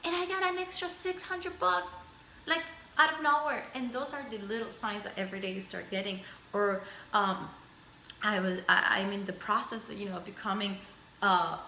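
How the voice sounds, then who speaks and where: A woman's voice: mid-range voice, bright, forceful tone, reverberant, slight background noise. A woman in her thirties, a native Spanish speaker, talking reflectively to her camera in a personal video journal, rambling through lists and repeating phrases. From Spanish-speaking background. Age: 30s. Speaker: vlogger. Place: indoors at home. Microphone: camera mic.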